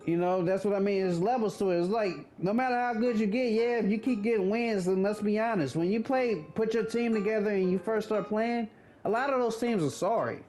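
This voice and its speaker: A man's voice: sits high, fairly quick, darkish tone, rough recording. A thirty-year-old man giving laid-back, blunt gaming commentary, swearing casually.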